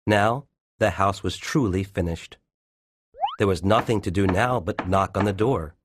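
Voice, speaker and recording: A man's voice: low-pitched, a little slow, noisy background. A narrator, a man, reading a scripted children's story.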